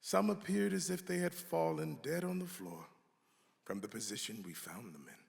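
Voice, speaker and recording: A man giving a dramatic reading of an old historical text, with emphatic, halting, weighty delivery. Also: a little high, expressive, vocal fry. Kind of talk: dramatic reading of an 18th-century pamphlet. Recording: reverberant hall.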